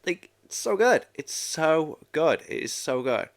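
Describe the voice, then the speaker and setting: A man's voice: fairly high pitch, big pitch swings, measured pace, gravelly. Enthusiastic, rambling man chatting casually. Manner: enthusiastic, rambling, repeats himself. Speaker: reaction video host. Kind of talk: casual reaction chat.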